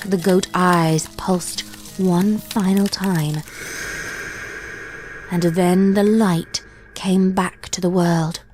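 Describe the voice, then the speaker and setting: A woman reading a dark fanfic aloud in a measured, deliberate storytelling manner. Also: lowish voice, steady tone, soft background music. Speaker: fanfic reader. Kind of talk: dramatic story narration.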